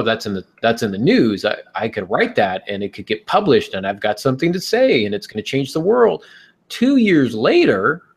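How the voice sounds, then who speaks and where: A man talking conversationally as a podcast guest, giving long-winded advice on writing fiction and thinking aloud as he goes. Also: medium pitch.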